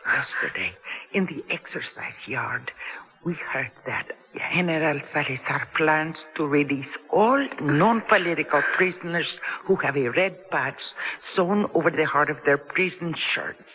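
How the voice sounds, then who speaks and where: A Latin American woman prisoner, conspiratorial and hopeful. Her voice is bright and fairly low, with a Spanish accent and Spanish words slipped into careful English, as in a 1970s radio play. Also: unhurried, poor audio. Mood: hushed, explaining. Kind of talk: radio drama acting. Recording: 1970s radio drama recording.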